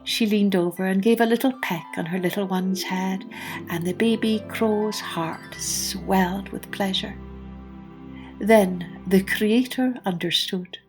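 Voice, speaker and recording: Female children's storyteller, unhurried, expressive scripted narration, with music. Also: medium pitch. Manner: expressive, deliberate phrasing. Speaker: storyteller and podcast host.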